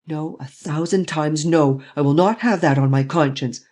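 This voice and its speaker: A reader voicing a daring, commanding gentleman thief who speaks urgently and forcefully in an audiobook. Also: medium pitch, normal speed, natural voice. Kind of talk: audiobook reading.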